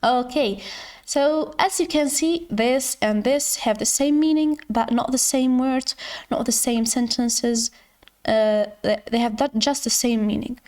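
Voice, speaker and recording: A woman who is not a native English speaker, hosting an informal screen-share tutorial in a halting way, often repeating and correcting her words. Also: a little high, darkish tone. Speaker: tutorial host.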